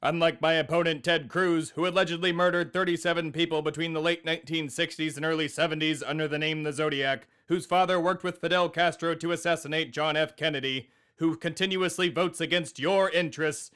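A man's voice: on the high side, fairly even intonation, a little fast, hardly any pauses, a bit bright, clean tone, close to an everyday voice. Man playing a pompous New England blue-blood. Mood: grandiose. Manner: pompous, indignant, declamatory. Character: pompous blue-blood male lobbyist and podcast host. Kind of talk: scripted comedy podcast acting.

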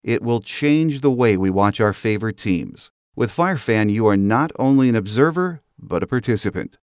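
Male podcast host for sports monologues, lower register, dry studio-style sound. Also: expressive, normal speed, darkish tone, dry recording.

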